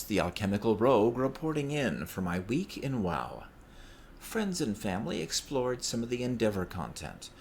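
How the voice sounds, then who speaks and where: Male podcast contributor, slightly low pitch, scripted report read aloud, noisy background. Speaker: podcast listener sending in a report. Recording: clear background noise.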